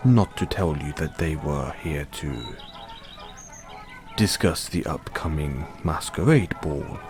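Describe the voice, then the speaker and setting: A man with a British accent playing a formal, devoted butler who gently chides his young master in an audio roleplay. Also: low-pitched. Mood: gentle, apologetic. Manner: formal, measured, deferential. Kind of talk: scripted roleplay, intimate second-person address.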